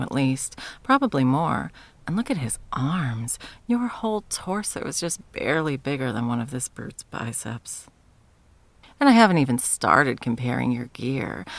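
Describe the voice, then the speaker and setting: Woman acting a haughty, low-voiced sorceress tyrant. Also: creaky, heavily acted. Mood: condescending, amused. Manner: theatrical, mocking, condescending. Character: haughty, sadistic undying sorceress tyrant, toying with challengers. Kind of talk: scripted ASMR fantasy roleplay.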